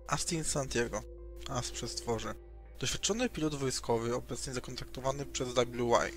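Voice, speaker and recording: A man's voice: mid-range voice, normal speed, music in the background. A man reading a scripted character introduction for a roleplaying game.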